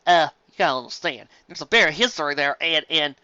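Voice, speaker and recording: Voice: mid-range voice, lively intonation, a little fast, pushed and bright, clear, resonant tone, dry recording. A reader voicing a defensive farm mare who talks hastily and stumbles over her words, in a dull lo-fi recording. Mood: flustered.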